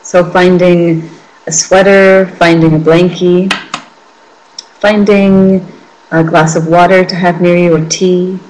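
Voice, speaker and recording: A woman with a slightly low voice teaches guided meditation. She is gentle and permissive, letting her phrases linger and offering each instruction as a soft, reassuring invitation. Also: level tone, slow pace, a little hiss or hum, low-quality recording. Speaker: meditation teacher leading a small group.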